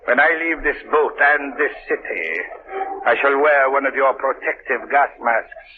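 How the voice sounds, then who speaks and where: An actor playing a cold, gloating radio villain. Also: male voice, medium pitch, steady tone, moderate pace, close to an everyday voice. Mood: menacing. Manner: smooth, menacing, relishing cruelty. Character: cold scheming male villain mastermind. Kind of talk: 1940s radio acting. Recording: tinny noisy old radio broadcast.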